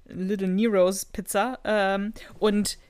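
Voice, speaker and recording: A woman's voice: mid-range voice, little pitch movement, takes it slowly, darkish tone, clean tone. A woman co-hosting a podcast, talking informally and a little giggly in casual conversation. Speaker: podcast co-host. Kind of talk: casual chat, telling an anecdote.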